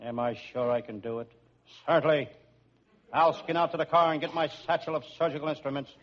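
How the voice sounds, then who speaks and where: Through a muffled, clearly noisy old radio recording comes a wisecracking private eye, played by a man. His voice sits a little high, and he tosses off jokes in a dry deadpan as he narrates his case. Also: a little fast, lightly acted. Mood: breezy. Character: wisecracking hard-boiled male private detective in a comic spoof. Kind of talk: old-time radio comedy acting and narration.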